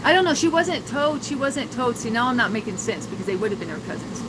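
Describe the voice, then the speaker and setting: A woman's voice: a little high, sing-song, quick pace, noisy background. An older woman telling family stories to her livestream viewers, rambling and laughing often with many fillers. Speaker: livestream host. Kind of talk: casual livestream chat with viewers.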